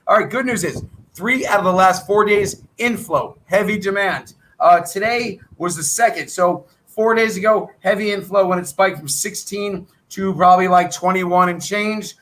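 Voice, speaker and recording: A middle-aged man with a high, bright, edgy voice runs a live trading chat. He rattles through numbers in a brisk, salesy patter, thinking out loud as he goes. His voice is wearing thin, as if he is losing it. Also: rough recording. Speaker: trading coach.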